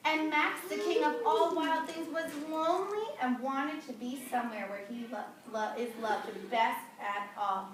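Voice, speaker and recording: A woman teacher reading a picture book aloud to young children, expressive and often prompting them with questions. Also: high voice, moderate pace, hardly any pauses. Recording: distant, echoey noisy classroom.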